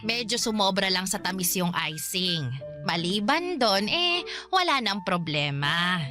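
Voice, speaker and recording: A woman playing a proud, warm-hearted elderly mother in a radio drama, with a Filipino accent and fond, exclaiming delivery. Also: mid-range voice, animated, unhurried, close to an everyday voice. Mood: pleased, teasing. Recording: music under the dialogue.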